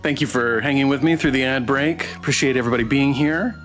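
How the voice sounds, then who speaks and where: A man's voice: medium pitch, quick pace, fairly bright tone. A man livestreaming a game playthrough and courteously chatting with his viewers. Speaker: game streamer. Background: background music.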